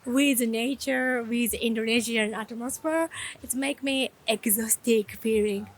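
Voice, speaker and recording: A woman from Japan with a Japanese accent, giving casual interview answers in simple, halting phrases. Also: fairly high pitch. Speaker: traveler being interviewed.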